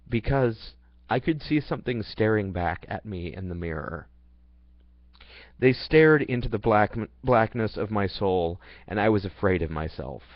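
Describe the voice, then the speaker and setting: A man's voice: medium pitch, no echo. A young man reading his personal life story aloud in an earnest, plain way, now and then stumbling and restarting. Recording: dull, dry lo-fi recording.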